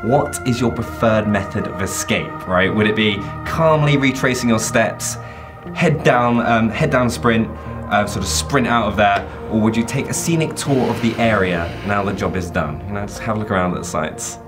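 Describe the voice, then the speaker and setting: A man's voice: on the low side, moderate pace. A man, chatting enthusiastically as an interviewer. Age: 20s. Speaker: interviewer, host. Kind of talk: casual promotional interview. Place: indoor studio interview set. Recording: loud backing music.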